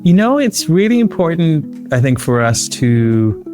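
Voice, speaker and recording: A man's voice: fairly high pitch, big pitch swings, measured pace, over music. A man in his early fifties from Sri Lanka talking casually as a podcast guest. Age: early 50s. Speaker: interview guest, founder. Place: living room at home, indoors. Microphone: close desk microphone on a video call.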